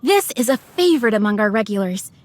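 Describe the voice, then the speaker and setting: A woman's voice: on the high side, expressive, a little fast, natural voice. A woman playing a polite, eager young waitress in a video game, presenting dishes to guests. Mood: proud, inviting.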